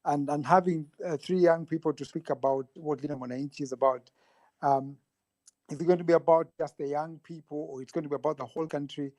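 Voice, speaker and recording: A man joining a political panel as a guest, speaking thoughtfully with pauses. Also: a little high, steady tone, a little mellow, no echo. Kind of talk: discussion of politics.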